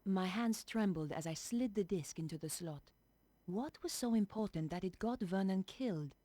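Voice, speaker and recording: A woman's voice: medium pitch, animated, normal speed, warm tone, no echo, natural voice. An actress playing a determined young woman journalist in a video game. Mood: tense, reflective. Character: young female investigative journalist, earnest and determined. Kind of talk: video game voice acting, narration and dialogue.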